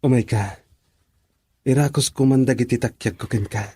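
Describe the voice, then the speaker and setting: A man's voice: medium pitch, normal speed, mellow, slightly rough, no room sound, studio quality, lightly acted. A young man playing a devoted, tender boyfriend in a radio drama.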